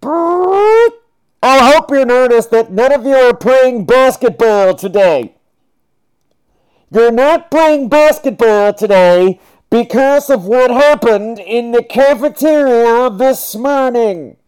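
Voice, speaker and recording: A man's voice: extremely high pitch, animated, slow, a little mellow, clean tone, voice pushed up, exaggerated voice, rough recording. A man voicing a bossy, scolding woman who sternly announces punishments in clipped, repetitive commands. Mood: stern announcing.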